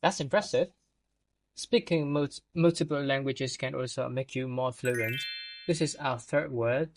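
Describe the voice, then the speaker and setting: A man's voice: medium pitch, measured pace, noisy background. Guy reading vocab definitions for a podcast lesson, trips on a word now and then. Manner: reads definitions with small stumbles. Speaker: podcast co-host.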